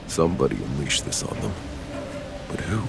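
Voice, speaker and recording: An actor playing a grave, matter-of-fact soldier in a video game cutscene. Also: male voice, extremely low pitch, sing-song, moderate pace, vocal fry, over music, exaggerated voice. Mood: grim. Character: gruff soldier reporting grim discoveries to companions.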